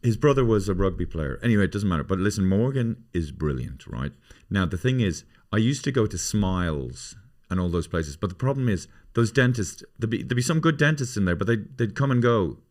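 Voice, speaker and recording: A low-voiced man in his fifties talks on a podcast. He rambles and swears through self-mocking stories, drifting from one aside to the next. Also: animated, a little fast, few pauses, a little mellow. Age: 50s. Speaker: podcast host. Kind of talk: casual comedic chat.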